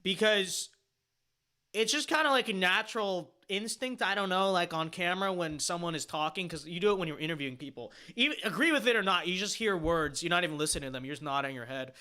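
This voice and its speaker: A man with a high, bright voice gives loose, opinionated livestream commentary. He wanders through fillers and half-started sentences, then hammers his points with blunt, dismissive emphasis. The tone stays casual and edgy throughout. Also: animated, fast, clear, resonant tone. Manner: rambling and opinionated, heavy fillers and restarts. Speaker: streamer reacting to his own panel appearance.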